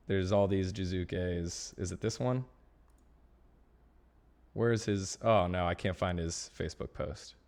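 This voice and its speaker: A man's voice: lowish voice, a little mellow. A man talking casually on a live stream about esports, ranting with growing indignation.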